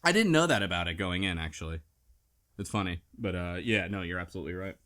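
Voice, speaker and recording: A man's voice: low voice, expressive, quick pace, bright, forceful tone. A man hosting a casual discussion podcast, speaking in an easygoing, somewhat hesitant way. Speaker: podcast host. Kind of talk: casual book club discussion.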